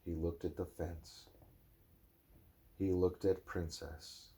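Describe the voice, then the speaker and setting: A man reading a children's storybook aloud as a father would, with expressive, measured narration and dramatic pauses. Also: sits low, creaky, husky.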